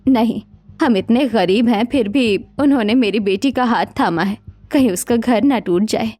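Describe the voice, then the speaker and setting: Woman doing an older, lower-voiced cartoon mother-in-law. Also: moderate pace, darkish tone, faint music behind, lightly acted. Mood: anxious, pleading. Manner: firm, placating. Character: older, poor, appeasing mother-in-law of the household.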